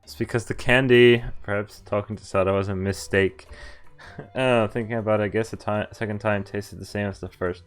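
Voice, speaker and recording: A man's voice: on the low side, steady tone, normal speed. Male streamer reading aloud over game music. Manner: reacts with laughs and mild swearing between read lines. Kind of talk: reading visual novel text aloud with casual asides. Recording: music behind the voice.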